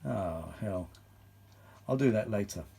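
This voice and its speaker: A man's voice: lowish voice, expressive, slow pace, vocal fry, warm tone, husky. A British man rambling casually through a hands-on demonstration, often muttering asides to himself. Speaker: vintage audio hobbyist and video host.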